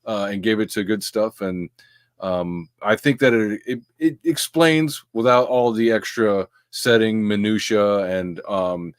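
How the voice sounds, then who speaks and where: Low-voiced man with a radio voice. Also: a little slow, clean tone, dry recording, studio quality. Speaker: comic creator guest. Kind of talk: casual interview chat.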